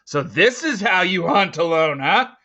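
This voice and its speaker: Man acting a forceful, sneering big hunter in a rage. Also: high-pitched, lively intonation, measured pace, highs cut off, voice pushed up, full character voice. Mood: scornful fury. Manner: sneering, spitting out words. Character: big, furious, scowling hunter, superstitious and bitter. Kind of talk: storybook character acting.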